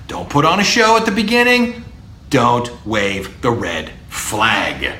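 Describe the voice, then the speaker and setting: A middle-aged man with a baritone voice, lecturing as a speech professor on public speaking, animated and emphatic with low, punchy asides. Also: slow, echoey room, a little hiss or hum.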